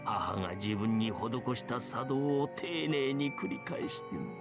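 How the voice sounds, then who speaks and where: A man playing a solemn student president who tells a ghost story like an old storyteller, in a measured cadence with a voice that gives out and shifts tone, in visual novel style. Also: medium pitch, expressive, lightly acted. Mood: grave, eerie. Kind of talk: dramatic Japanese visual novel acting. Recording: game audio over background music.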